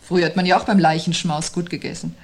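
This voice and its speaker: An actress playing a sceptical, uneasy woman in a literary radio play, with a husky voice and a dry, probing, measured delivery. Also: on the low side, little pitch movement. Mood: pensive, wry. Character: sceptical, wry, uneasy woman waiting out looming doom.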